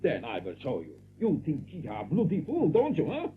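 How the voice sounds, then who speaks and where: Excitable bird, pitch swooping wildly. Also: male voice, moderate pace. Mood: indignant. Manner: broken English, blustering. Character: excitable gruff foreign-sounding bird, boastful and irritable. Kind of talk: audiobook drama acting. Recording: noisy, narrow-band old audio drama recording.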